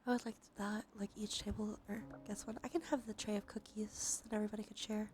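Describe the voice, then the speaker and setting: A woman streamer chatting in a soft, breathy, often whispered ASMR style, murmuring gently as she thinks aloud. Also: mid-range voice, flat delivery, fast, slight background noise. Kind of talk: cozy ASMR game stream chat. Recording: soft background music. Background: music.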